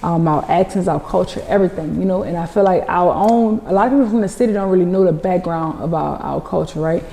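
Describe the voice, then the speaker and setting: A woman from New Orleans with a thick New Orleans accent talks quickly and casually, slipping into other people's voices as she tells her stories. Her tone is mellow and dark. The sound is roomy, with a long reverb tail. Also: lowish voice, vocal fry. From New Orleans, USA. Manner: storytelling, quotes others in dialogue. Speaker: guest, social media personality. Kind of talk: casual interview talk.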